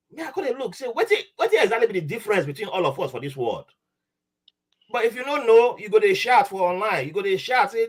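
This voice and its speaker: A man's voice: very high-pitched, slightly rough. A man with a Nigerian accent, casually telling a story as a podcast guest, slipping into Pidgin and acting out voices. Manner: animated, mixes Nigerian Pidgin, acts out quoted voices.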